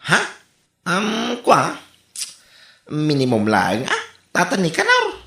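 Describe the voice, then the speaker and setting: Radio comedy: whiny, fed-up Ilocano office worker, hugely animated pitch, sharp tone, roomy sound. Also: male voice, takes it slowly, exaggerated voice. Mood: defensive. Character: harried, exasperated office worker pestered by nosy neighbours. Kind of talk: comedy radio drama acting.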